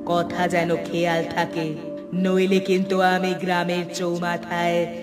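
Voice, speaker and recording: Woman as a slow, menacing vengeful ghost. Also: lowish voice, monotone, music in the background. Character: vengeful female ghost of a murdered village widow. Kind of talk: Bengali cartoon horror voice acting.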